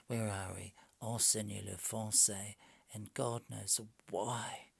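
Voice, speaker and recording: A quiet, soft-spoken man muttering to himself at home, grumbling mildly and trailing into whispers. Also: lowish voice, steady tone, takes it slowly, gravelly, husky, dry recording. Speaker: language video maker. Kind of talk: casual talking to himself while working. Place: home at night. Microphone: phone.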